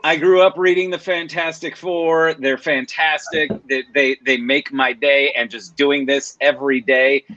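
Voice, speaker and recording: A man, a voice actor guest, talking enthusiastically in fast bursts and repeating himself. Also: fairly high pitch, fairly even intonation, sharp tone, highs cut off. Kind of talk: casual podcast interview.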